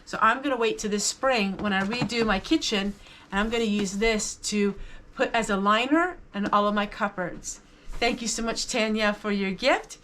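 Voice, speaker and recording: A woman's voice: medium pitch, fairly bright tone, a little hiss or hum. A woman hosting a vlog, chatting casually to viewers about items she shows, in an enthusiastic and appreciative manner. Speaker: vlog host. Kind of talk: casual haul chat to viewers.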